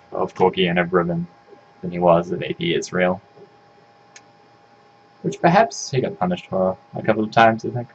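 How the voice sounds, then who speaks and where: Croaky low-voiced man analyzing a game. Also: highs cut off, a little hiss or hum. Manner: rambling, self-correcting, frequent ums and "right?". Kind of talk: casual solo game analysis.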